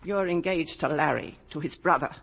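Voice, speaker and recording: A woman's voice: low voice, moderate pace, fairly bright tone, exaggerated voice, low-quality recording. A woman acting in a 1950 radio drama as a middle-aged mother, grieving, brooding and stubbornly in denial. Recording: rough vintage radio recording.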